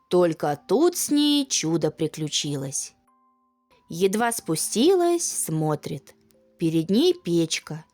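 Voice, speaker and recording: A woman storyteller narrating a children's fairy tale in an expressive, folksy manner. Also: medium pitch.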